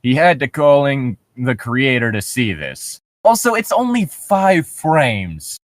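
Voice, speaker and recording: Man, casual comedic commentary, lively swinging pitch, sweary nitpicking, very dry recording. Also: slow pace, dry recording. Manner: profane, incredulous nitpicking. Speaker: podcast co-host reading and commenting on a horror story.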